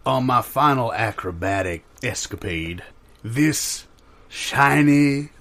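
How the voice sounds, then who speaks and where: A man in improvised audio comedy playing an old retired robber, generous and wryly solemn, with a husky voice. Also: mid-range voice, lively intonation, takes it slowly, a bit bright. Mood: wistful, ominous.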